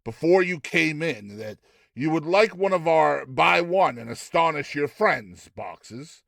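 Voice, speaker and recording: A man's voice: on the high side, lively intonation, measured pace, vocal fry, a bit bright, no echo, studio quality, voice pushed up, full character voice. A man voicing an affable, uncanny shopkeeper and conjurer in a storybook reading, courteous and emphatic in a theatrical way. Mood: assured, courteous.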